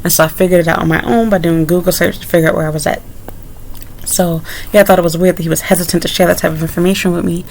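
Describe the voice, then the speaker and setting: A young woman recounts a personal ordeal straight to camera, loose and candid, drifting into side remarks before picking the thread back up. Her voice sits fairly low with a noticeable gravelly fry running through it. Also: steady tone, rapid-fire, darkish tone, a little hiss or hum, rough recording. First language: English. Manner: candid, rambling with frequent asides. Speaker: vlogger. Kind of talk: casual story-time monologue.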